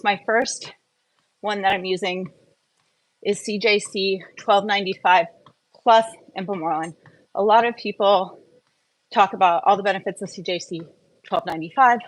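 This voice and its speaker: A woman's voice: medium pitch, rough recording. Conversational woman explaining things casually. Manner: conversational, explains step by step with rhetorical questions. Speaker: host of a wellness audio update. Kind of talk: casual explanatory solo talk.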